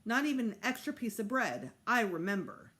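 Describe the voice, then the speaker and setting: Woman acting a storybook preteen boy, indignant, sulky, emphatic, very animated intonation. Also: fairly high pitch, moderate pace. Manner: emphatic, exasperated complaining.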